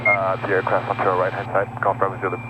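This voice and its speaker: A man with a fairly low voice makes short, formulaic pilot calls. He comes over aviation radio with clear aircraft noise. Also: completely flat delivery, racing, lo-fi sound. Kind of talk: brief procedural radio phraseology. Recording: noisy aircraft radio transmission.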